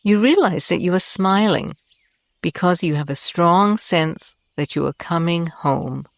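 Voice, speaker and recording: A woman with a low voice guides a meditation at a very slow pace. Her delivery is gentle, soothing and deliberate. The sound is dry and close, with no room echo. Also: animated, creaky.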